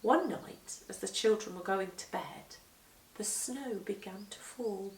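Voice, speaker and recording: A woman reading a children's story aloud with expressive, dramatic emphasis, moving between hushed and swelling delivery. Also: medium pitch, unhurried, slight background noise. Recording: echoey room, distant microphone.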